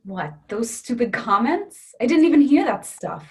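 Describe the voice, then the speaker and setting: A woman's voice: a little high, very animated, normal speed, a little mellow. A woman acting an affectionate but defensive young girlfriend in a naturalistic play reading. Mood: defensive, pleading. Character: affectionate, defensive young girlfriend trying to reassure her boyfriend. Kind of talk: readers theatre play reading, naturalistic dialogue.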